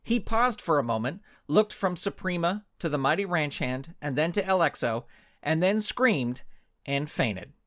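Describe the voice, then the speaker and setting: Animated, high-voiced male storyteller. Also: unhurried. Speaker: author and narrator. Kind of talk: scripted story narration.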